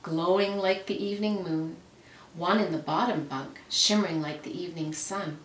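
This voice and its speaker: Low-pitched woman reading a storybook, roomy recording. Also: moderate pace. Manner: careful, dramatic phrasing with character voices. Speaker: reader reading aloud. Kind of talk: expressive storybook read-aloud for children. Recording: roomy, noisy, distant microphone.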